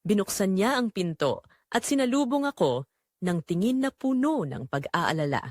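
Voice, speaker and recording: A woman with a Filipino accent reading a scripted first-person romantic drama as a story narrator, in a measured, dramatic storytelling manner. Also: medium pitch, very animated, dry recording, clean studio sound.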